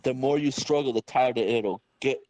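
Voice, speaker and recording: Man reading as a quippy, mid-pitched superhero. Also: normal speed, a little mellow, dry recording, natural voice, poor audio. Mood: cocky, taunting. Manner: taunting, quippy, read-aloud delivery. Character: wisecracking, confident male comic-book superhero.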